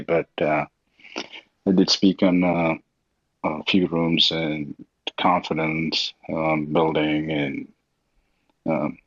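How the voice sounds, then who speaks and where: A man's voice: deep voice, no room sound, dull audio. A man from South India, a Telugu speaker, chatting hesitantly with lots of ums over an audio app. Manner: hesitant, many ums and uhs. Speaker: guest on a call-in audio room. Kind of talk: casual chat.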